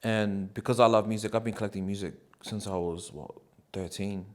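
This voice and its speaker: A man, answering interview questions in a relaxed, rambling way with many ums and likes. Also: on the low side, a little fast. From New Zealand. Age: 30s.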